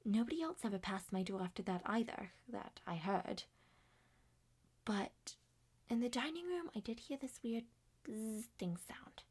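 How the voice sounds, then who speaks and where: A woman voicing a quiet, watchful boy with a hushed, hesitant delivery. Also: a little high, lively intonation, measured pace, slightly rough. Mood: hushed, matter-of-fact.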